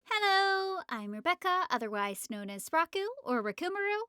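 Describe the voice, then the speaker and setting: Excited, high-pitched woman with lively swoops. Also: normal speed. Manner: excited, gushing. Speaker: amateur voice actor. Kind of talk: casual self-introduction to audience.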